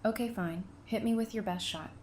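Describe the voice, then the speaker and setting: A woman's voice: medium pitch, brisk, a little mellow, natural voice. A woman playing a guarded but compassionate survivor in an audio drama, speaking in a dry, blunt way. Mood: dry, challenging.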